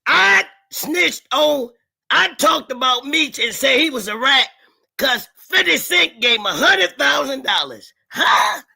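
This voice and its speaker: A woman telling stories in casual, slangy talk, with emphatic points and halting, repeated starts. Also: sits high, very animated, creaky, bright, forceful tone. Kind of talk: casual chat, storytelling.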